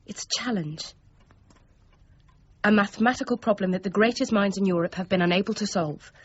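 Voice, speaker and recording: An actress playing a brisk, teasing but caring young niece in a period radio drama, forthright and gently goading. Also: female voice, mid-range voice, husky, lo-fi sound, natural voice. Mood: playful.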